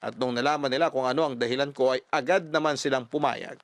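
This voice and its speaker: A man's voice: medium pitch, fairly even intonation, fast, dry recording. A man reading a scripted first-person story as a radio-style storyteller.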